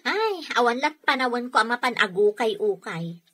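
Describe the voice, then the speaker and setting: Highish forceful lady a fussy complaining housewife, big sister type, radio comedy. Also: animated, normal speed. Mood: envious, grumbling. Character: complaining, hard-to-please housewife and elder sister. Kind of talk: Ilocano radio comedy drama acting.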